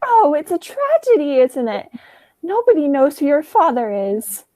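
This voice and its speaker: A woman's voice: high-pitched, very animated, a little slow, mellow, dry recording. A young woman playing a sweet, genteel elderly spinster aunt in a radio play, politely dithering. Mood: sweetly earnest.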